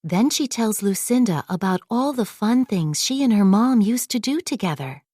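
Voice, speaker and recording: A woman reading a children's story aloud as a scripted, expressive narration. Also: mid-range voice, moderate pace, dry recording, studio quality. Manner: expressive read-aloud delivery.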